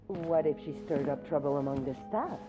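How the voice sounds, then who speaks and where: A woman's voice: sits low, brisk, music in the background, close to an everyday voice, poor audio. A woman playing a jealous, resentful, scheming woman in a dramatic video game scene. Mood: resentful, sly. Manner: insinuating, resentful.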